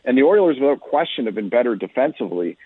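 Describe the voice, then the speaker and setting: Over a narrow, phone-like radio line, a man with a medium-pitched voice gives sports analysis as a guest. He speaks conversationally and explains his points in a measured way. The sound is dry, with no room echo. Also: sing-song, slightly rough.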